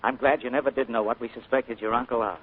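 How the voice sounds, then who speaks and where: A man plays a tender, casual young detective in an old radio drama. His voice is medium in pitch and gently delivered. The recording is narrow and scratchy, with faint noise from a 1940s broadcast. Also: steady tone, quick pace, natural voice. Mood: easy, tender.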